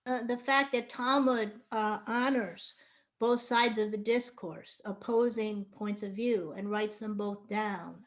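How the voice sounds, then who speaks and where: A woman's voice: mid-range voice, steady tone, slow pace. A woman taking part in a class on a video call, speaking hesitantly with fillers. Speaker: class participant.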